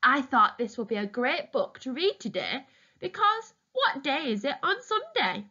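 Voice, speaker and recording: Female storytime reader for young children: bright, very lively intonation, expressive and deliberate, on a lo-fi home recording. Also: sits high. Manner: deliberate, addressing young listeners with questions. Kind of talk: expressive children's picture-book read-aloud.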